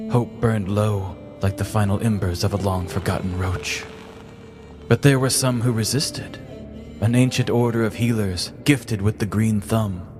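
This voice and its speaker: Casting: male storyteller, low voice, measured and theatrical, comic fantasy narration over prominent music. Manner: mock-epic, theatrical, measured. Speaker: podcast host and storyteller. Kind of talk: dramatic scripted comedic fantasy narration.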